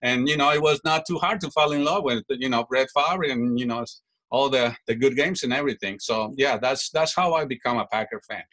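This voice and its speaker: A man's voice: mid-range voice, fairly quick, a bit bright, low-quality recording. Brief: middle-aged man, chatty, self-correcting guest. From Mexico. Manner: rambling, self-correcting storyteller. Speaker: guest fan. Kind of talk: casual interview answers.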